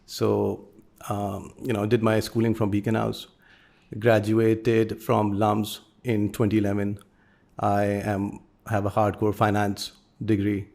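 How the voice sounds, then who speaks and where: A Pakistani man in his thirties talks about his company in a measured, businesslike way. His voice is lowish and stays level, with little rise or fall. He pauses and restarts now and then as he explains. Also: monotone, gravelly, a little mellow. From Lahore, Pakistan. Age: 30s. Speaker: company co-founder, guest. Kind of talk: interview answers.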